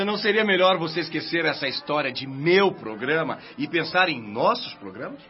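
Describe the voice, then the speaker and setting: An actor playing an unworried, sententious man gently chiding a colleague in a radio drama. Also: on the high side, lively intonation, moderate pace, close to an everyday voice. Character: calm philosophical man chiding a worried colleague. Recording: muffled radio play recording.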